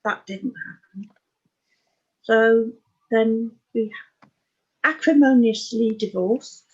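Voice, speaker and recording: Husky Englishwoman in her sixties, frank storyteller. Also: on the high side, takes it slowly, dull audio. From Oxfordshire, England. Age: 60s. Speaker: interview guest. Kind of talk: candid personal life story in conversation.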